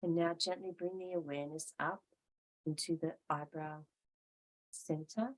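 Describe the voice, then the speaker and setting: A woman leads a yoga and meditation class in a fairly low voice. She speaks very slowly and calmly, in short measured phrases with room left between them as she gives each instruction. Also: poor audio. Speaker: yoga teacher.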